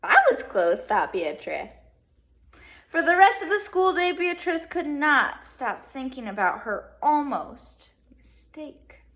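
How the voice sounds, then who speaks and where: A woman teacher reading a children's picture book aloud in an expressive way, with casual asides to young pupils. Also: high voice, slow pace. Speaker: second grade teacher.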